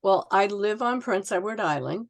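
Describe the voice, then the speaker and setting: A woman's voice: mid-range voice, expressive, moderate pace, vocal fry. A Canadian woman in her sixties from Ontario, chatting and telling anecdotes as a guest author in a casual interview. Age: 60s.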